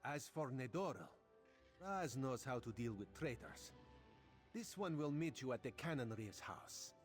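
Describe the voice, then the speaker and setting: A man's voice: mid-range voice, very animated, unhurried, faint music behind. An actor playing a wry, theatrical cat-man royal agent in a video game, speaking of himself in the third person. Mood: concerned, resolute. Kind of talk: video game character dialogue.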